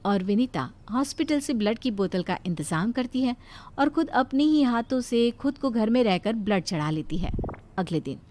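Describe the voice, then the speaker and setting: A woman with a high-pitched voice tells a story as narrator. The delivery is scripted storytelling, set out line by line. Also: expressive, moderate pace, a little mellow, a little hiss or hum.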